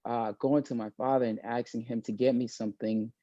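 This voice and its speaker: A young man born in South Sudan and raised in Maine, speaking as an interview guest in a thoughtful, halting way, with many fillers and restarts. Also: medium pitch, little pitch movement, mellow, dry recording, muffled recording. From born in South Sudan, raised in Maine, USA. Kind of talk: casual video-call interview.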